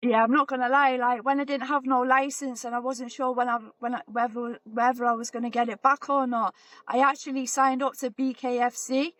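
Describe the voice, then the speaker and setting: Chatty high-voiced woman in her forties. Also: brisk. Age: 40s. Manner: relaxed, chatty, repeats herself. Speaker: boxer and guest. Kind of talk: casual interview chat.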